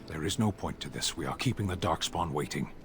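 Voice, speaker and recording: Stoic fantasy warrior, very deep voice, blunt, terse, solemn, video game character, clear background music. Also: male voice, expressive, fairly quick. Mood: stoic, measured. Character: blunt, stoic Qunari warrior, large humanoid soldier.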